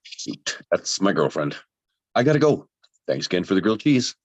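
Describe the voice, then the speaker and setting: A man's voice: low voice, sing-song, moderate pace. A man playing a sincere, slightly awkward young expectant father in a dramatic script table read, heard through a dull, lo-fi microphone. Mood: sheepish.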